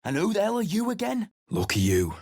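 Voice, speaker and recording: Gruff sellsword, husky, low-voiced, dry studio sound. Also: male voice, wildly swooping pitch, fairly quick, a little mellow, no room sound, exaggerated voice. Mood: surly. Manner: surly, contemptuous. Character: gruff rough-spoken male mercenary leader. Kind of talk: video game voice acting.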